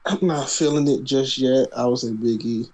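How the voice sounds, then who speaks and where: A man's voice: mid-range voice, steady tone, moderate pace, warm tone, low-quality recording. A man co-hosting a casual podcast, talking wrestling in a laid-back, skeptical, teasing way. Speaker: podcast co-host. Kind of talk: casual wrestling predictions chat.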